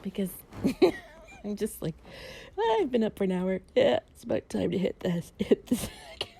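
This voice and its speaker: A woman speaks softly and breathily, often slipping toward a whisper, with a mellow tone and plenty of vocal fry. She chats to the listener like a close companion, playful and drowsy, over faint background noise. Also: mid-range voice, measured pace. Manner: playful, sleepy, confiding. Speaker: audio roleplay performer playing a loving companion. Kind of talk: improvised intimate relaxation roleplay, casual chat to the listener.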